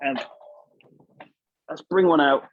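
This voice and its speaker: A man with an English accent chatting casually and thoughtfully as a guest, in a halting, hedging way. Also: a little high, dry recording, dull audio. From England. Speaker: puppeteer guest. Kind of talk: casual chat.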